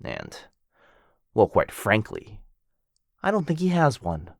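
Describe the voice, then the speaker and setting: Man doing a flirty male werewolf, teasing, possessive, low-pitched, unhurried, dry close sound. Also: huge pitch swings, gravelly, no echo, heavily acted. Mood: playful.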